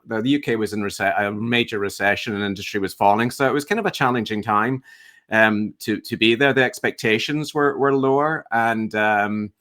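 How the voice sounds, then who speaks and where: A Scottish man in his fifties chatting as an interview guest, halting and reflective, with a self-deprecating manner. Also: lowish voice, fairly bright tone. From west coast of Scotland. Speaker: guest, entrepreneur and coach. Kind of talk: casual interview chat.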